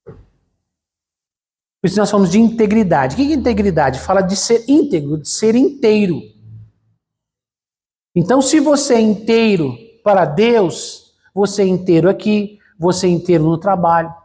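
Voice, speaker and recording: A man's voice: high voice, expressive, normal speed, pauses often, dark tone. A man preaching a conversational sermon as a pastor in a church, explaining with frequent fillers and rhetorical questions.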